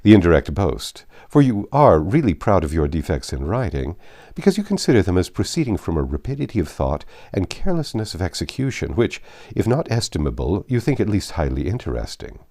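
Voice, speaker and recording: A man voicing a proud, reserved young gentleman in an audiobook of a classic novel, speaking in a formal, measured, cutting way. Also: low voice, very animated, full character voice. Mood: stern, lecturing.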